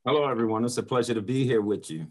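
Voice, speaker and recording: A man's voice: mid-range voice, quick pace. An older American man from Philadelphia casually introducing material as a motivational speaker. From Philadelphia, United States. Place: small home office, indoors. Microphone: webcam video call.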